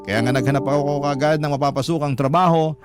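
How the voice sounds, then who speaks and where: A man calmly reading a listener's letter aloud in first person as a radio storyteller. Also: a little high, quick pace. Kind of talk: scripted radio narration. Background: background music.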